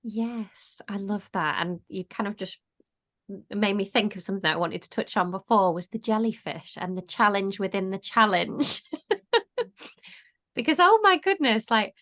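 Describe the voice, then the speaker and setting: A woman co-hosts a relaxed podcast conversation. She muses aloud in a casual way, stringing her thoughts together with frequent "like" and "um". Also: medium pitch, normal speed. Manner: reflective, filler-heavy, uses "like" often. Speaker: podcast co-host.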